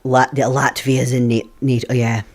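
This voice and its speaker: A man's voice: medium pitch, level tone, normal speed. Chatty Scottish man streaming a game. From Scotland. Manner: chatty, laughs often, thinks aloud. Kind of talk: live game stream chat.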